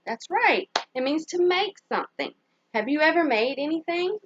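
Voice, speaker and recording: Animated, high-pitched woman reading to children. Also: highs cut off. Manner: pauses to put simple questions to young listeners and praise their answers. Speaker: teacher reading a picture book aloud to children. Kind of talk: children's story read-aloud with questions to the listeners.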